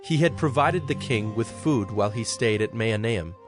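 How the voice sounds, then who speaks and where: A man's voice: lowish voice, animated, normal speed. A man reading scripture as the narrator of a dramatized production, in scripted storytelling style. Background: soft music.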